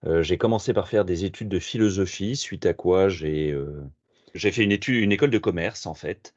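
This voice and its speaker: A middle-aged French man being interviewed as a philosopher, talking in a measured, reflective way with frequent hesitations. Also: low-pitched, darkish tone, no echo, muffled recording. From France. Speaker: podcast guest, philosopher and management consultant. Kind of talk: interview answers.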